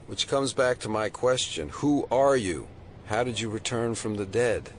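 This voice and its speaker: A man playing a dry, sardonic FBI agent in an audio drama, deadpan and full of probing questions. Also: fairly low pitch, measured pace, slight background noise, lightly acted.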